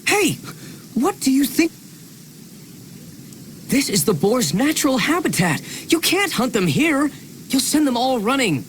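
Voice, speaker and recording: An actress playing a stern, rule-minded village hunter in a video game, with a firm, reproachful manner. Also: female voice, high voice, big pitch swings, unhurried, pauses often, a little hiss or hum. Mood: scolding.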